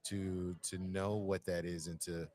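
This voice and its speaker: Deep voiced guy talking slow and thoughtful, very low pitch. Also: level tone, dark tone. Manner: musing, searching, repeats phrases. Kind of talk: reflective conversational talk.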